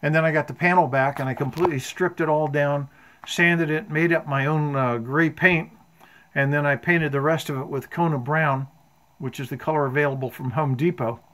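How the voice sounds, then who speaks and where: An older man in his seventies with a slightly high voice for a man. He talks informally and plainly, walking through technical details of equipment like a hobbyist showing his work. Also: steady tone, normal speed, a bit bright, slight background noise. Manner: matter-of-fact, technical explaining. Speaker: amateur radio hobbyist. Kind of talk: informal show-and-tell of homemade equipment. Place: indoor home workshop.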